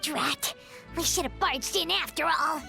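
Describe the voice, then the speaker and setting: A woman voicing a tiny, excitable childlike fairy companion in a video game, squeaky and dramatic. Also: a little slow, vocal fry, over music, full character voice. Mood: indignant. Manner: exclamatory, whiny, dramatic.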